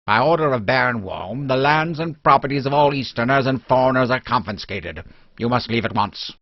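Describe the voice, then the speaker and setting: A man playing a hostile, threatening village mob man in a fantasy audiobook, forceful and stern. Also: medium pitch, animated, moderate pace, no room sound, voice pushed up, close to an everyday voice. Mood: threatening, angry. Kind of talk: dramatic fantasy audiobook dialogue. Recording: dull lo-fi recording.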